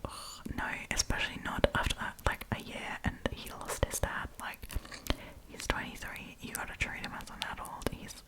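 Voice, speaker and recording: A woman's voice: normal speed, low-quality recording. Female ASMR host, whispered throughout, informal storytime reading and commentary, close-miked. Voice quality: breathy unvoiced whisper. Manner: chatty, informal asides. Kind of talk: whispered ASMR, reading online stories aloud with casual commentary. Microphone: close propped-up microphone.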